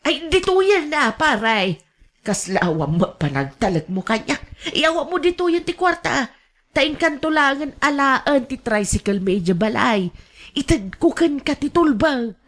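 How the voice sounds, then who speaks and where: Woman acting old ilocano mom in a radio drama, high voice, really slow, wheedling n stammery, kinda meek. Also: expressive, a bit bright. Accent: Filipino, Ilocano. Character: elderly gambling mother, pleading, evasive, meek.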